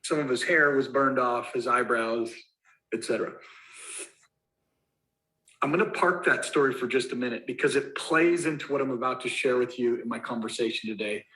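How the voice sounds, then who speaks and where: A man's voice: mid-range voice, normal speed, bright, forceful tone. A man in his forties from Colorado giving a motivational talk as a guest speaker, telling a personal story with acted-out moments. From Colorado, USA. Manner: storytelling, acts out the phone call. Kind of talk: motivational talk in a recorded clip. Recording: roomy echo.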